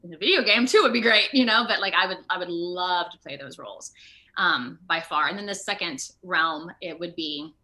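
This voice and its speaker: An American woman talking casually in an interview over a video call, with an animated, rambling, self-deprecating manner. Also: fairly low pitch, normal speed. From Ohio, United States. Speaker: actor being interviewed.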